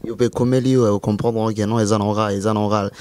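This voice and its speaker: A man's voice: on the low side, little pitch movement, a little fast, a little mellow. A man with a Congolese accent interviewing casually, mixing French and Lingala and asking for clarification.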